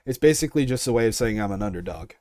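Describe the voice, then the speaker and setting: A man's voice: on the low side, lively intonation, racing, darkish tone, no room sound, clean studio sound. A young man answering questions about his creative work in a casual interview, as a content creator. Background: music.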